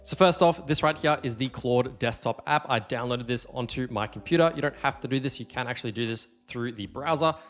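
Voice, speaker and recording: A man hosting a casual software tutorial, explaining things conversationally and stressing the key points. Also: mid-range voice, a little fast, hardly any pauses, soft background music. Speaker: tutorial host.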